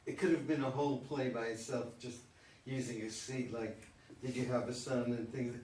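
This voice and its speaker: A man's voice: medium pitch, steady tone, moderate pace, darkish tone. Tentative man, distant in an echoey room. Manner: hesitant, tentative. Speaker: audience member in discussion. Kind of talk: casual group discussion. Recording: roomy, distant, faint noise.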